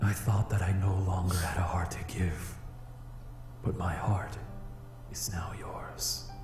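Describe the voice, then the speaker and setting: A man's voice: sits low, steady tone, slow pace, in a whisper. An echoing voice with music behind it, belonging to a male flame demon. He is proud and solemn, with stiff archaic phrasing that betrays a lovestruck fluster. It suits a visual novel game. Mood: tender, solemn.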